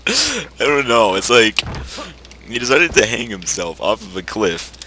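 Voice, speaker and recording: Young man, lowish voice, very animated intonation, casual joking gamer chat, repetitive. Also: unhurried, a little hiss or hum. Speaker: co-host playing a video game.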